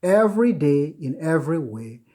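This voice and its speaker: A man giving an informal lecture, earnest and repetitive, with many filler pauses. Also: a little high, sing-song, dark tone. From United States. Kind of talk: informal recorded lecture on Buddhism.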